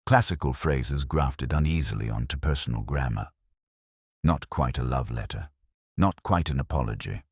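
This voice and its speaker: A man narrating a first-person detective story in a measured, brooding, literary way. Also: very deep voice, no room sound, studio quality.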